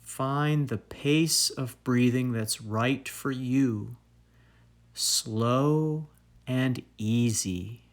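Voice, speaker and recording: A man narrating a guided meditation in a calm, soothing, deliberate way, leaving long pauses. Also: mid-range voice. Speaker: meditation guide and fitness trainer.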